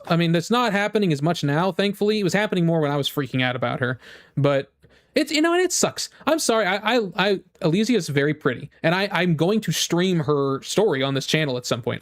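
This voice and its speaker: Rambling, opinionated male streamer, higher-pitched voice. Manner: rambling, with frequent "like" and repeated phrases; opinionated, emphatic. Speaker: game streamer. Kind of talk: casual livestream chat and fan rant.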